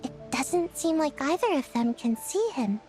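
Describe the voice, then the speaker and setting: A tiny, excitable childlike game companion. The voice is extremely high and bouncy, chatty and exclamatory in a cartoonish way, with music clearly behind it. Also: female voice, moderate pace. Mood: curious, chatty. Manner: perky, casual, exclamatory. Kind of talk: cartoonish video game voice acting.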